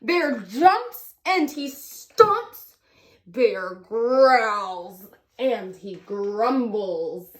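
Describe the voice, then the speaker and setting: A woman storyteller reading a picture book expressively to young children, drawing out words in an animated way. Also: on the high side. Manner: deliberate, drawn-out, animated delivery for young children. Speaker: children's read-aloud storyteller.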